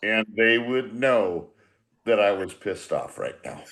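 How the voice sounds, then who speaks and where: A man's voice: fairly low pitch, expressive, slow pace, fairly bright tone. An American man in his sixties with a blunt, salty, rambling manner, chatting casually as a veteran baseball manager. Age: 60s. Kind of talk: casual profane group chat.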